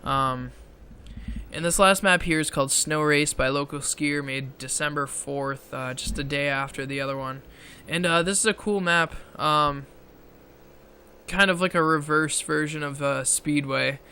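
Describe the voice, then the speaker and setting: Man, bright and forceful tone, somewhat high, casual game commentary, halting with fillers, strained voice. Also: slight background noise. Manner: halting, with many fillers and frequent throat clearing. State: voice strained from long talking. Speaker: gaming video creator. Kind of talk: casual commentary over gameplay footage.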